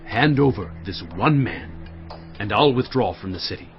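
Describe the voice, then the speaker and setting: An actor playing a hard-edged army commander of ancient Israel in a dramatized audio Bible, emphatic and declamatory. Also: male voice, fairly low pitch, lively intonation, normal speed. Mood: firm protest. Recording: dull band-limited audio with music bed.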